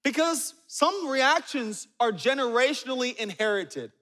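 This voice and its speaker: A man in his forties preaching a sermon, telling stories with humor and dropping low and quiet for asides. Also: expressive, measured pace. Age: 40s. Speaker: pastor. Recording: reverberant hall.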